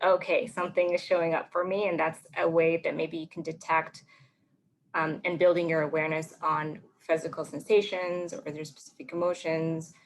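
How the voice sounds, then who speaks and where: A woman's voice: low-pitched, gravelly, slight background noise, rough recording. A woman co-hosting an online panel, sharing her own reactions in an open, conversational way over a video call. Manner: open, self-reflective, conversational. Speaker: counsellor and panel co-host. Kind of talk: reflective panel talk.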